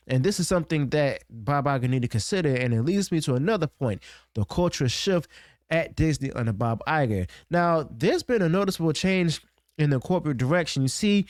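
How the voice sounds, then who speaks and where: A man's voice: medium pitch, expressive, a little fast, darkish tone, no room sound, studio quality. A man giving opinionated, unscripted commentary, punctuating his points with a questioning "okay?". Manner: emphatic, keeps tagging points with "okay?" and "now"; loose and unscripted, with restarts. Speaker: online commentary host.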